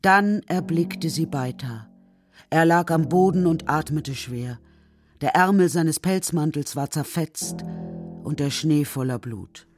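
Woman narrating a kids radio play, lower voice, measured and dramatic, bit of music under it. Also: a little mellow. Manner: measured, dramatic literary reading. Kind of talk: scripted storytelling for a children's radio play.

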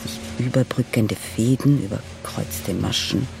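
Slow, deliberate man reciting poetic prose. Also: fairly low pitch, steady tone, gravelly, noisy background. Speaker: reader in a radio sound composition. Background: music.

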